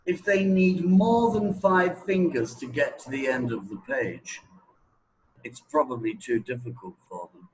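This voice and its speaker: Slow-talking man explaining like a teacher. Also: mid-range voice, a little hiss or hum, poor audio. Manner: didactic, checking with "right?", "okay?", "yeah?". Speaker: interviewed language teacher. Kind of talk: conversational explanation in an interview.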